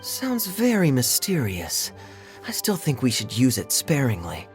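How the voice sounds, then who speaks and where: An actor voicing a suave, wry adult knight in a video game. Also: male voice, medium pitch, huge pitch swings, a little slow, faint music behind.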